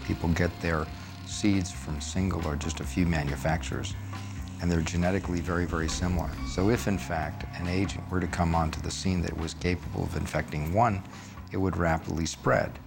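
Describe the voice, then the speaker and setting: A man's voice: sits low, fairly even intonation, brisk, gravelly. A man explaining as an expert in a documentary interview, with music underneath.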